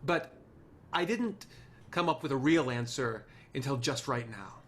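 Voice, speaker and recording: A man's voice: medium pitch, lively intonation, measured pace, a bit bright, a little hiss or hum. A man playing an idealistic, charming, swaggering TV writer in a screenplay table read. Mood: earnest, hesitant.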